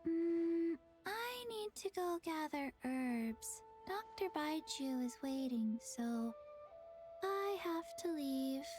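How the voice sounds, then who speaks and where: A woman voicing a small, forgetful undead girl in a video game, speaking in halting, flat, polite short phrases. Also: high voice, faint music behind.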